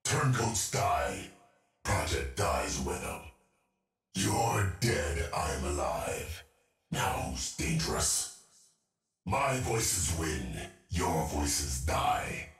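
An actor playing a vengeful, paranoid cyborg assassin in a video game, menacing and unhinged, muttering through robotic processing. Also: male voice, low voice, big pitch swings, very slow, a bit bright, heavily acted. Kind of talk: video game character voice lines. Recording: roomy, distant, music behind.

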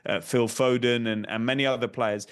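A man's voice: mid-range voice, steady tone, clean tone. A man in his thirties, a football correspondent, speaking carefully with many ums over a video call at a desk mic. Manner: measured, hesitant with ums and repeated words. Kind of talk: remote studio discussion. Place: home room. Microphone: desk microphone on video call.

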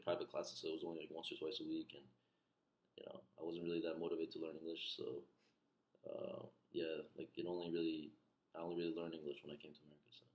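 Young man, from Iran, Farsi native speaker, very low voice, hesitant and filler-heavy, echoey bedroom. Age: young adult. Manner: hesitant, many fillers like "like" and "you know". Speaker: interviewee. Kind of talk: casual interview. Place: small bedroom, indoors. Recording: echoey room.

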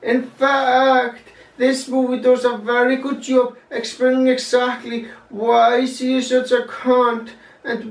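A man's voice: very high voice, gravelly. Young male vlogger, plain-spoken film reviewer, curses casually; recorded at a distance in a roomy space. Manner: blunt, swears freely, measured delivery. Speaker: movie reviewer. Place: small plain room indoors, seated.

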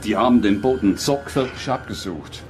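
An actor playing a brisk, businesslike policeman in a radio play, speaking in clipped phrases. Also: lowish voice, lively intonation, faint music behind, lightly acted. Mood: explaining. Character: brisk male police colleague reporting findings.

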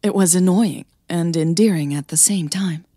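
A woman's voice: fairly low pitch, normal speed, warm tone, slightly rough, dry recording, clean studio sound. A woman hosting a podcast and narrating a scripted audio story.

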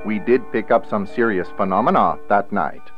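A man's voice: fairly low pitch, normal speed, muffled recording, faint music behind, natural voice. A man acting in a radio play as a formal, earnest salesman-technician who speaks precisely. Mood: clinical. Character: formal, earnest male salesman-technician, secretly a time investigator.

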